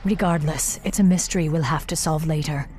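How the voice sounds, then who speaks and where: Woman as a deep-voiced stern elf warden. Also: fairly quick, noisy background, lightly acted. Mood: grave, pondering. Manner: grave, commanding. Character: stern, relentless female night elf warden commander. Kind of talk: dramatic fantasy game acting.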